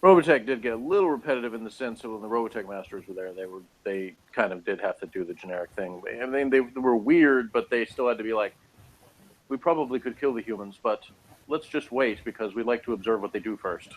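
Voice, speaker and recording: A man's voice: fairly low pitch, fast, low-quality recording. A man chatting casually as co-host of a TV review show, with a sarcastic, mocking way of putting things down. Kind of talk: casual chat reviewing a sci-fi TV episode.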